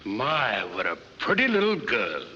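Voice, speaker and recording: Sharp, slow courtly flirt. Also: male voice, medium pitch, wildly swooping pitch, heavily acted. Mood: flirtatious. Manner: hesitant, gallant, drawn-out. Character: courtly, flirtatious Texan colonel, bumbling ladies' man. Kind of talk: old film comedy acting. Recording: dull, noisy, reverberant old film soundtrack.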